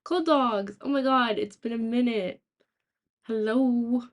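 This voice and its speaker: A young woman with a raspy, sore-throated voice, chatting casually to live stream viewers in a halting, rambling way. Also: on the high side. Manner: halting, rambling, thinking aloud. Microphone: laptop, without usual microphone.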